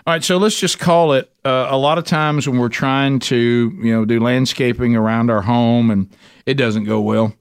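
A man's voice: medium pitch, normal speed, clean tone, dry recording. Male host, Southern American, mid-range voice, folksy and joking, casual podcast talk, dry recording. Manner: folksy, joking, repeats phrases. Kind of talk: casual podcast chat and ad reads.

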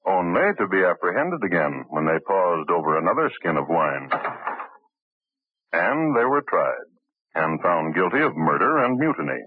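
A man narrating a crime story in an old radio drama, in a grave, measured manner. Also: deep voice, big pitch swings. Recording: tinny old radio broadcast.

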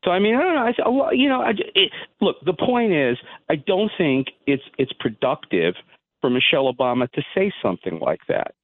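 A man's voice: on the high side, very animated, no echo. Man calling in over a tinny phone line. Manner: halting, full of restarts, heated. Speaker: caller. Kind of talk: call-in radio opinion.

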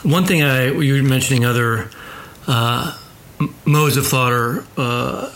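A man co-hosting a literary interview podcast, feeling his way haltingly toward terms as he frames a question. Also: medium pitch, fairly bright tone, poor audio. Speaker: podcast co-host.

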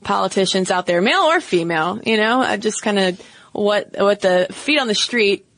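A woman's voice: medium pitch, expressive, normal speed, a bit bright, no echo. A woman co-hosting a podcast in casual chat, thoughtful and hedging with fillers as she talks. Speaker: podcast co-host.

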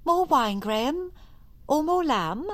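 A middle-aged English mother in an audio drama. She is brisk and dismissive, quick to contradict, with a very mobile, animated pitch and a matter-of-fact edge. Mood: polite, hostly. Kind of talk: naturalistic audio drama acting.

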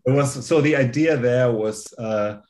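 A man in his forties with a German accent, talking as a guest on a video call, measured and full of fillers and restarts. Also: medium pitch, a little mellow. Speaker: guest, company founder. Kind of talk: conversational interview.

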